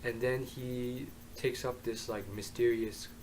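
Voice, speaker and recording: A hoarse young man reviewing an anime to his webcam in his bedroom, rambling with many ums and uhs. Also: mid-range voice, steady tone, takes it slowly, a little mellow, slight background noise, rough recording. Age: young adult. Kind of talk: casual vlog review. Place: bedroom, indoors. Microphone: webcam at desk.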